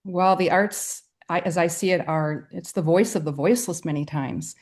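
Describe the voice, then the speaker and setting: A woman's voice: fairly low pitch, moderate pace, a little mellow. A middle-aged woman from Wisconsin, a poet and writer, answering interview questions in an earnest, reflective way. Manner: earnest and reflective, with light laughs.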